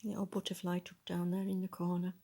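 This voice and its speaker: Woman, low-pitched, soft and breathy, storybook reader, musing asides, gravelly creak. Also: monotone, a little fast. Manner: musing, tentative, conversational. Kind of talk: reading a picture book aloud with casual, chatty asides about the illustrations.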